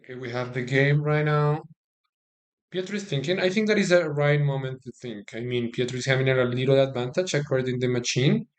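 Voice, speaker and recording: A Colombian man with a Spanish accent gives easygoing live-stream chess commentary in a medium-pitched voice. He talks in a chatty, stop-start way, repeating words as he reaches for them and turning often to thank his viewers. He is recorded on a phone. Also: a little fast.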